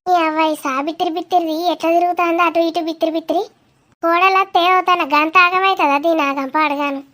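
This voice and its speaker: A woman's voice: extremely high pitch, fairly even intonation, quick pace, a bit bright. A woman voicing a nosy, grumbling village aunt in a cartoon comedy, with a Telangana Telugu accent and a blunt, gossipy way of talking. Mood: scornful, grumbling.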